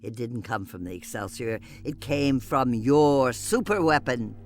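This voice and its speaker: A man playing a grim, distrustful Romulan officer in a science fiction audio drama, with a deliberate, accusing manner. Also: mid-range voice, animated, a little hiss or hum.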